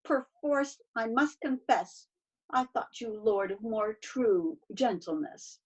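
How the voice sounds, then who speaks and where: A woman's voice: fairly high pitch, lively intonation, takes it slowly, a little mellow, husky, dull audio, exaggerated voice. A woman playing a desperate, self-pitying young Athenian woman in a stage verse read-through over a video call, plaintive and theatrical. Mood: hurt, indignant.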